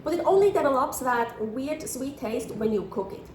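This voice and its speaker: Woman, 30s, from Switzerland; chatty, emphatic vlogger, slightly high voice, roomy echo. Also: expressive, normal speed, a little mellow, slight background noise. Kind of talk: casual vlog narration.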